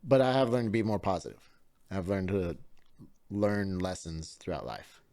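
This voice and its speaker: A man's voice: deep voice, animated, normal speed, darkish tone. A man in his late twenties co-hosting a podcast in casual, laid-back chat, laughing often. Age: late 20s. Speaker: podcast co-host.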